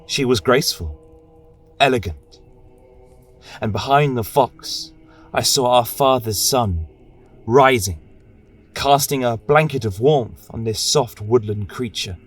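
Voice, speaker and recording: A man voicing a serene female angel and warrior queen in a fantasy audio tale, soft, reverent and stately. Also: mid-range voice, slow pace, long pauses, faint music behind. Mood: reverent wonder.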